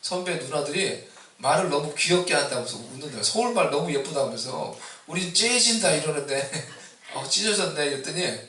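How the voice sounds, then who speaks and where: A man from Seoul preaching an Easter sermon as pastor, with traces of Busan dialect; he climbs loud and high when he exhorts and keeps asking the congregation questions. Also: expressive, fairly quick. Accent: Seoul Korean with a mix of Busan dialect. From Seoul, Korea. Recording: echoey hall, distant mic.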